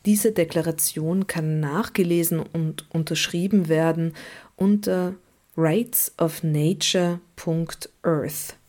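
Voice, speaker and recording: A woman hosting a radio show, reading calm, measured announcements. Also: low-pitched. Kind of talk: scripted radio narration.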